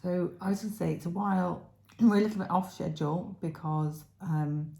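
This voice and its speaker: A middle-aged woman from England, co-hosting a knitting podcast in casual, rambling chat, her voice still croaky from illness. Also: lowish voice, normal speed, dark tone, echoey room. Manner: chatty, rambling, many fillers. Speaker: podcast co-host. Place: home room.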